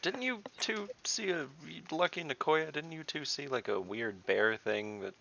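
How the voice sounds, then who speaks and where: Male tabletop player, bright forceful edge, wry casual delivery, slightly muffled noisy mic. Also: a little high, a little slow, creaky. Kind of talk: casual online game chat. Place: online group voice call.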